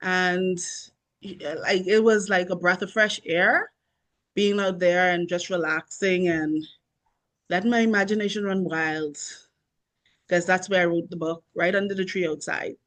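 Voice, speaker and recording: A woman's voice: fairly low pitch, fairly even intonation, unhurried, fairly bright tone. Bahamian woman chatting as an interview guest. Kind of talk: casual interview chat.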